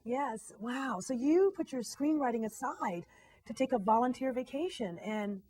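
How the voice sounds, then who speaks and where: A woman's voice: high voice, animated, moderate pace, warm tone. A woman from Tanzania hosting a casual, conversational interview in an effusive manner. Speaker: interviewer, host.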